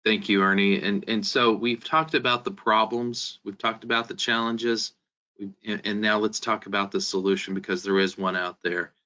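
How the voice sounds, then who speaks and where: A man giving an explanatory webinar presentation, speaking directly to his listeners as a business software presenter. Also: lowish voice, steady tone, normal speed. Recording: dull, band-limited webinar audio.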